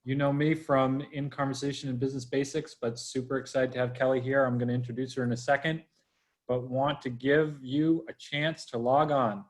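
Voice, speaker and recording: A man's voice: mid-range voice, little pitch movement, fairly quick. A man from Boston hosting a live online interview, chatty and upbeat, talking straight to his viewers. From Boston, USA. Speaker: host. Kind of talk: livestream interview.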